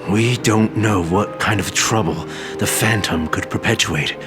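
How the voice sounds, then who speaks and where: A man playing a grave, mysterious adventurer in a radio drama, speaking in a measured, theatrical, formal way. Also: fairly low pitch. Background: dramatic music.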